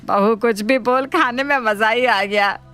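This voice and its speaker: A greedy old mother-in-law from a cartoon tale , acted by a woman. The voice is high and food-loving in character, with faint music behind it. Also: unhurried, pushed and bright, clean tone. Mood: eager.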